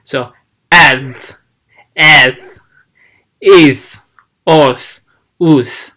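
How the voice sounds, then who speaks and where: Guy w/ talking slow, lots of ums, sounding out syllables one by one. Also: fairly high pitch, pushed and bright. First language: Chinese. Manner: slow, halting, demonstrates sounds aloud. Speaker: language learner vlogger. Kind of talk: casual teaching vlog.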